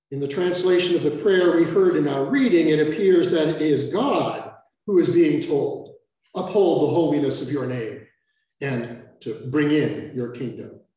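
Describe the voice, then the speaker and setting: An older American priest giving an earnest sermon that explains the text step by step, with self-aware asides. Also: male voice, fairly high pitch, mellow. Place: large church sanctuary. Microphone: far camera. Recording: echoey church from far off.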